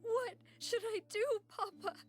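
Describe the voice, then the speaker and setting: A woman's voice: very high-pitched, steady tone, measured pace, soft background music. An actress playing a gracious, dutiful young woman leader with an earnest, formal manner in an anime game. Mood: tearful grief. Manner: polite, formal, earnest phrasing.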